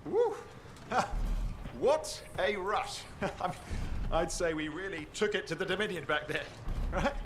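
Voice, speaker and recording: A man playing a cocky but nervous young rookie pilot in a video game, excitable and stammering. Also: high-pitched, unhurried, background noise. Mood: giddy, boastful. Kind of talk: video game cutscene acting. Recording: loud music underneath.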